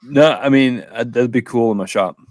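A man's voice: medium pitch, moderate pace, darkish tone, no room sound. A man with a mid-range voice talks casually in a group podcast about collectible toys. The sound is dry, with little room on it, as on a video call. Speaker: toy shop owner and podcast co-host.

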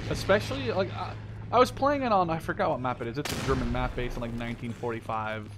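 A man's voice: medium pitch, expressive, quick pace, noisy background. A man in his twenties giving casual, rambling gameplay commentary, opinionated and emphatic, with some swearing. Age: 20s. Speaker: gaming video host. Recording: game gunfire and clicks behind voice. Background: video game gunfire, clicks.

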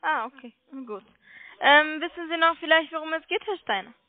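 A woman with a high-pitched voice makes a sales call. She is polite but persistent, coaxing the listener with questions. The sound is a dry, narrow telephone line. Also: normal speed, dry recording. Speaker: telemarketing caller selling online trading. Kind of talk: sales pitch over the phone. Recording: muffled phone line.

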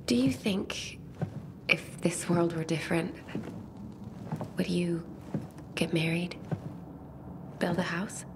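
An actress playing a pensive, sensitive young bookish survivor in a video game, speaking in measured, formal phrases. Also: female voice, fairly low pitch, background noise. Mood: dreamy, vulnerable. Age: young adult. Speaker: game character. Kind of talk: video game cutscene dialogue.

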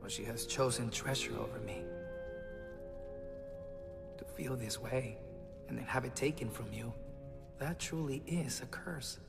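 A lovestruck young prince from an animated film. He declaims with theatrical earnestness and romance. His voice rings in a long reverb, with prominent music behind. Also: mid-range voice, measured pace, vocal fry, pauses often. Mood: solemn, heartfelt.

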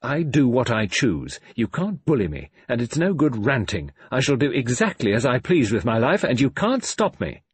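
A man's voice: lowish voice, expressive, fast talker, hardly any pauses, husky, no echo. A man voicing a cold, defiant, scornful young adult daughter in an audiobook, biting and controlled.

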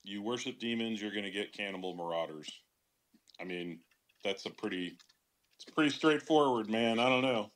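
A man's voice: fairly low pitch, moderate pace. A man with a Chicago accent, talking bluntly and dismissively in a casual podcast discussion. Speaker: show regular.

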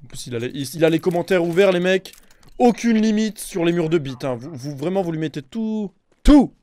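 A young man streaming a shooter game on a headset mic, chatting excitably with his viewers and swearing often. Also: high voice, fairly quick, no room sound. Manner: excitable, swears a lot, talks to chat. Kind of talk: live gaming stream commentary. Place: indoor room with a gaming chair.

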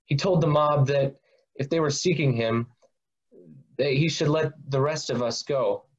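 A man's voice: on the high side, a little slow, lightly acted. A man acting as an impulsive disciple full of remorse, confessing in a dramatic reading. He halts at times, and the sound is slightly muffled, like a video call. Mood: rueful. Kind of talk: amateur dramatic monologue reading.

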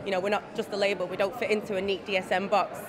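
A woman's voice: medium pitch, level tone, fast. A woman in her twenties giving earnest, articulate interview answers. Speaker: poet being interviewed. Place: indoor event space with display boards. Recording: crowd chatter behind the voice.

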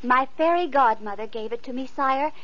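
An actress playing a gentle, kind-hearted young girl who is treated badly by her family, sweet and polite in a 1940s radio play. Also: high voice, normal speed. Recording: muffled old radio broadcast.

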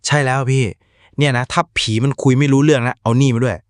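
A man voicing a calm, teasing younger ghost-hunting sidekick in a cartoon. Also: medium pitch, fast, clear, resonant tone, dry recording, studio quality, natural voice. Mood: casual. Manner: easygoing, teasing. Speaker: cartoon voice actor.

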